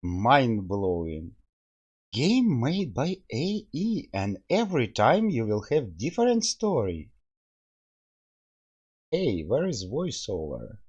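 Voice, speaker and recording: A man hosts a casual game playthrough with open enthusiasm and plenty of exclamations. His pitch leaps all over the place while he takes his time with the words. The voice has a slightly husky grain. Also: huge pitch swings, takes it slowly, a little mellow, lo-fi sound. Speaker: host reviewing a game. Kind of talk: casual gameplay commentary.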